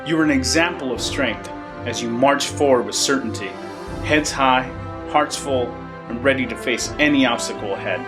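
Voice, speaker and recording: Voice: medium pitch, normal speed. A speaker reading a solemn, scripted tribute about courage. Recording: reading over clear music.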